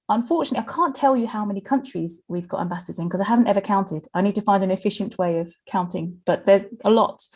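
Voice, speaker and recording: A woman's voice: medium pitch, a little mellow. Woman, webinar host, chatty, filler-heavy, video call. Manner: chatty, with many fillers and small laughs. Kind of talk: presenting a webinar.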